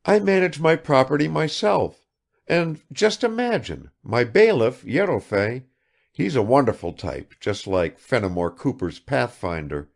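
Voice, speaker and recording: A man's voice: mid-range voice, gravelly, voice pushed up, full character voice. A man reading aloud and voicing an affected, chattering provincial woman who fires off questions without waiting for answers. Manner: gushing, rapid-fire questions.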